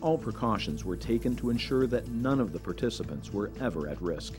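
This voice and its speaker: A man giving measured, earnest scripted narration for a safety documentary. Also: fairly low pitch, expressive. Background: background music.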